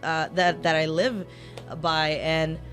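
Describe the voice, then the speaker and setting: Chatty woman attorney with a lowish voice. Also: level tone, takes it slowly, a bit bright, faint music behind. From Los Angeles, California. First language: Spanish. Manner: chatty, frequent "uh" and "um" fillers, self-correcting. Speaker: personal injury attorney, guest. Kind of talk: casual interview answers.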